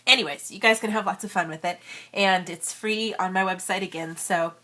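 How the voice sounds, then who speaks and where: A woman's voice: lowish voice, a little fast, sharp tone. A woman in her thirties with a cold, chatting casually as she walks through an origami tutorial. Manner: chatty, friendly, many fillers. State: has a cold. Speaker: origami crafter and channel host.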